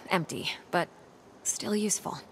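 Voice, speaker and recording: A woman playing a stranded space scientist in a video game, methodical and uneasy but determined, musing to herself in a hushed way. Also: lowish voice, slow, very noisy.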